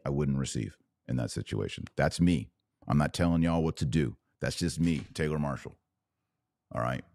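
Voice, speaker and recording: A man's voice: rumbling low, a little mellow, no room sound, clean studio sound. An American man hosting a podcast, giving impassioned off-the-cuff religious commentary in a preachy, emphatic way with punchy phrases. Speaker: podcast host taking viewer questions.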